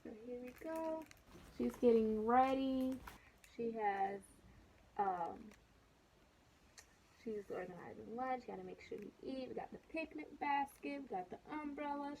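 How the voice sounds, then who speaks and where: A woman's voice: fairly high pitch, darkish tone, slight background noise, low-quality recording. A woman reading a children's picture book aloud casually, chatting to young listeners with questions and asides. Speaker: storybook reader.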